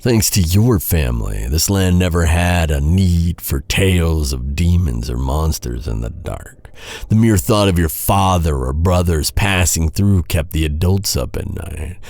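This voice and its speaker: An actor playing a bitter, sardonic knight who taunts a royal captive with mock courtesy in a dark fantasy audio roleplay. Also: male voice, deep voice, animated, dry recording, exaggerated voice. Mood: dark, accusing. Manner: sardonic, mock-courteous, brooding, with sighs and pauses.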